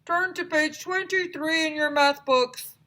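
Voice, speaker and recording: A woman's voice: sits high, unhurried, pushed and bright, voice pushed up. A woman voicing a cheery sock puppet who teaches math, in a children's storybook reading. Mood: chipper.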